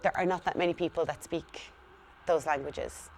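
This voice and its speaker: A young woman working as a school liaison coordinator, explaining her work in a steady, earnest way. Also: sits low, normal speed, slight background noise. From Ireland. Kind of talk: speaking to camera about her work.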